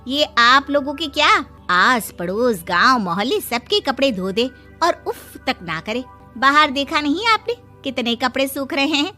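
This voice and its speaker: A woman's voice: sits high, sing-song, unhurried, sharp tone, faint music behind, full character voice. A woman voicing a boastful middle-aged mother of the bride in a comic cartoon story, gushing and chatty.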